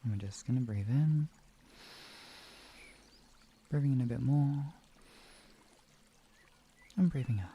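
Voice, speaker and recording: A man streaming guided relaxation and talking to viewers in a soothing, gentle, instructional manner. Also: medium pitch, slow pace, pauses often, lo-fi sound, slight background noise.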